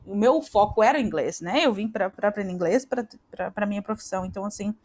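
A woman's voice: medium pitch, lively intonation, fast talker, a little mellow. A Brazilian woman in her thirties, a podcast guest talking casually, with many fillers and restarts. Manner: chatty, frequent fillers and restarts. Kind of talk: casual interview conversation.

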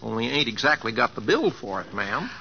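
An actor playing a folksy, courteous young cowboy with a Western American drawl, hesitant and polite, in 1950s radio drama style. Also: male voice, mid-range voice, very animated, fairly quick, vocal fry, sharp tone, heavily acted. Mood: polite, bashful. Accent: American West drawl. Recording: dull vintage radio broadcast.

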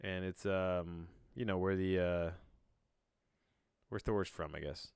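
A man with a fairly low, clean voice, recorded close and dry. He reads aloud like a casual podcast host, breaking off to wander into bemused asides and interrupt himself. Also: fairly even intonation, slow pace, darkish tone, clear, resonant tone, dry recording. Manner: rambling, self-interrupting, bemused; casual swearing. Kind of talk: casual solo podcast reading with rambling asides.

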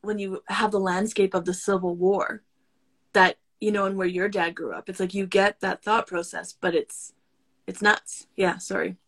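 A woman's voice: mid-range voice, little pitch movement, normal speed. A woman with a mid-range voice hosts a podcast interview. She speaks casually and conversationally, with informal and slightly tentative phrasing. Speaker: podcast host.

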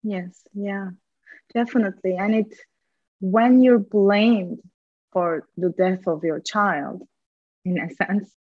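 A woman's voice: mid-range voice, takes it slowly, pauses often, no room sound, narrow, tinny audio. A woman, a linguist and podcast guest, speaking earnestly in a candid conversation and repeating words for emphasis. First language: Turkish.

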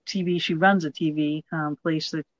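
Rough-voiced woman from Massachusetts. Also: low voice, fairly even intonation, dry recording, muffled recording. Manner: blunt, quick and laughing. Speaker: podcast host. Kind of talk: casual chat.

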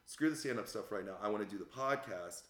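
A man hosting a podcast in a casual, laid-back and agreeable way, quick to laugh along. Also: lowish voice, lively intonation, fairly bright tone, reverberant. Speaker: podcast host.

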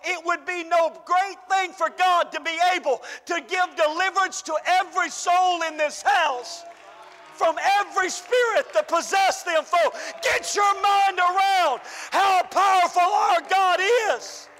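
Male pastor, fervent shouting preacher, strained voice, bright edge, echoing church hall. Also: extremely high pitch, a little slow. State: losing his voice. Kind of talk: sermon.

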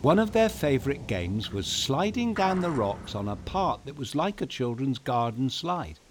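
A man's voice: mid-range voice, big pitch swings, moderate pace, noisy background. A man narrating a scripted children's story in a playful, theatrical manner, speaking directly to young listeners. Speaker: storyteller and host of a children's bedtime podcast. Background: music.

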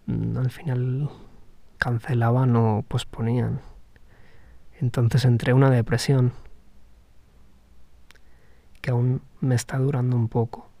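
A man speaks slowly through a personal podcast reflection, often pausing and restarting his sentences. His voice is gravelly and mellow rather than bright. The sound is dry and close, with no room echo. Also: medium pitch. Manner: hesitant, thoughtful, with frequent restarts. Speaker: podcast host.

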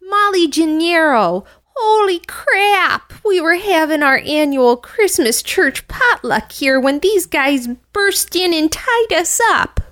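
A woman's voice: extremely high pitch, very animated, slow, a bit bright, no echo, clean studio sound, heavily acted. A woman playing a feisty, defiant American hostage in a 1940s-style radio play, speaking bluntly. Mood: indignant.

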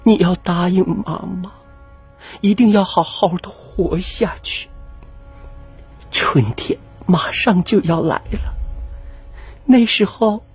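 Woman as a slow, tender, sorrowful mother wolf. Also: on the low side, breathy edge, faint music behind, pitched above the performer's own voice, heavily acted. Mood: sad, soothing. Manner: slow, gentle, coaxing speech with sighs.

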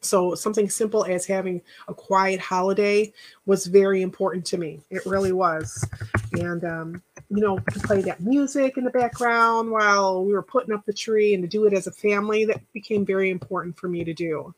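A woman hosting a casual live chat, rambling and encouraging, thanking viewers by name, with a stuffy nose and a voice that is going hoarse. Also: mid-range voice, steady tone, normal speed, a little hiss or hum, low-quality recording. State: losing her voice. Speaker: podcast host.

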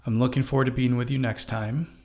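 A man hosting a podcast, reading a scripted closing in a warm, grateful and measured way. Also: medium pitch, steady tone. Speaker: podcast host.

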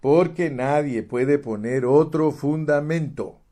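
A man preaching with a dark, mellow voice, stressing his points by repeating words. He keeps turning to the listener as brother, rising in force at key lines. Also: fairly high pitch, slow. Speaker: pastor. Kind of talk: sermon. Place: United States.

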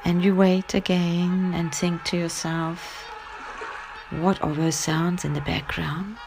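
A woman with a low-pitched, creaky voice guides a relaxing bedtime story. She speaks softly and very slowly, pausing often and slipping toward a whisper. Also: level tone. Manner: gentle, hushed, halting with long pauses. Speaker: narrator of guided sleep story. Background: music.

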